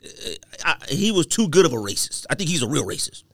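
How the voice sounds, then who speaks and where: Emphatic American man with very lively pitch. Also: brisk, creaky, no room sound, studio quality. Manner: emphatic, teasing, argumentative. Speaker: podcast co-host. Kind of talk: casual chat, friendly debate.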